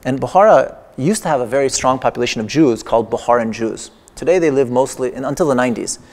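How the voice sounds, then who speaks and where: A man's voice: medium pitch, animated, quick pace, darkish tone. A man whose first language is Persian gives a wry, digressive lecture performance in a large museum exhibition hall. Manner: wry, digressive, with playful humor. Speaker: artist giving a lecture performance. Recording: long echoing hall reverb.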